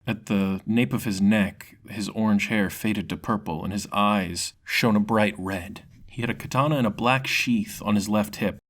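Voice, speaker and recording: A man's voice: on the low side, normal speed, few pauses, a bit bright. A man narrating a scripted fantasy story in a dramatic storytelling manner. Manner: dramatic storytelling with character voices.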